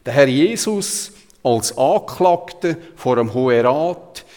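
A man's voice: mid-range voice, lively intonation, slow pace, mellow. Zurich Swiss man preaching in a reverberant hall. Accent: Zurich Swiss German. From Zurich, Switzerland. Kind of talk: sermon with Bible retellings, conversational.

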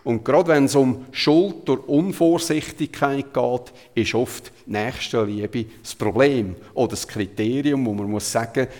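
A male preacher with a Zurich Swiss German accent speaks at a medium pitch, with long hall reverb around his voice. His delivery is conversational yet emphatic, in the style of a sermon that retells scripture. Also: a little slow, few pauses, a little mellow. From Zurich, Switzerland.

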